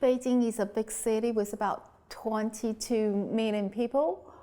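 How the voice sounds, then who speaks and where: A woman from China, a Mandarin native speaker talking in English, thoughtful and soft-spoken in a documentary interview. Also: on the high side, steady tone, a little slow.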